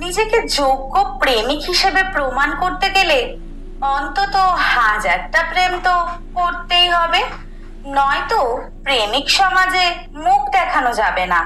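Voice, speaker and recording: A woman plays Friendship itself in an audio drama, a firm, wise peacemaker. The voice is high and bright with roomy echo, teaching in emphatic, measured phrases. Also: lively intonation, full character voice. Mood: critical. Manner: emphatic, didactic, deliberate phrasing. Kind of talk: scripted audio story monologue. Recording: roomy echo, music bed underneath.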